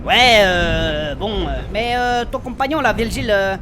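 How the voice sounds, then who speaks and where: A man playing a naive, excitable rogue who talks in colloquial, halting questions in a French fantasy comedy audio drama. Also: sits high, background noise. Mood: puzzled. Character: slow-witted, excitable male rogue, indignant and questioning.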